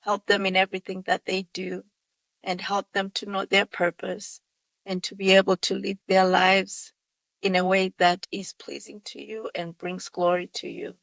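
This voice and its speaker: A woman's voice: medium pitch, monotone, normal speed, vocal fry, fairly bright tone, no room sound, poor audio. A woman hosting a podcast, enthusiastic as she welcomes her guest and leads a prayer. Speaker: podcast host.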